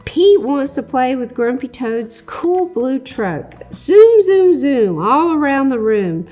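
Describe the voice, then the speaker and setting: Woman reading a picture book aloud to kids, high, lively, slow. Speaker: children's story reader.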